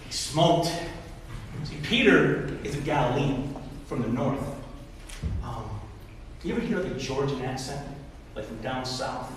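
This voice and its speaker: A man in his forties from Michigan, a pastor telling a sermon story in a lively way and acting out the voices of the people in it. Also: medium pitch, measured pace, long pauses. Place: church hall. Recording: echoey hall, voice from far off, faint noise.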